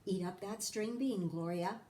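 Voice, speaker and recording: A woman's voice: medium pitch, a little slow, warm tone, close to an everyday voice. A woman voicing a patient, practical mother in a children's storybook in a matter-of-fact way.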